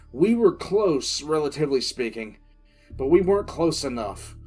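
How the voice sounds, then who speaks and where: Man acting a grim, higher-voiced military commissar. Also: a little mellow. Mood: grim, brooding. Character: grim, shaken military commissar recounting a looming invasion. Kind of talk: dramatic first-person audio drama narration.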